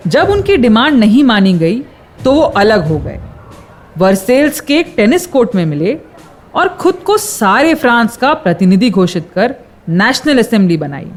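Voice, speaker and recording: An earnest female narrator of a historical radio play. She has an Indian accent and a highish, very expressive voice over faint music. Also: normal speed. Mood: earnest, dramatic.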